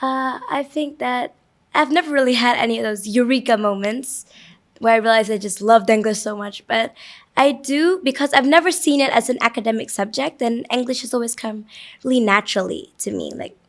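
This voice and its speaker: A young female student with a fairly high voice answers an interviewer's questions. She speaks English fluently and thoughtfully, with Vietnamese as her first language. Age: teens.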